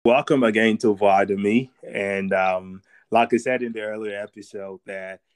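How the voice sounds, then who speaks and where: A young man hosting a casual podcast, talking in a relaxed, rambling way with lots of fillers and restarts. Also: lowish voice, moderate pace, clean tone. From immigrant to America. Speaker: podcast host.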